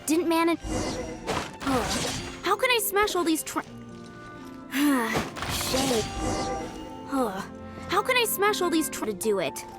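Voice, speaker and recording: A woman's voice: high-pitched, sing-song, slow, long pauses, full character voice. A woman voicing an earnest, modest young female knight-in-training in an anime-style video game. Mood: determined, self-critical. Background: music.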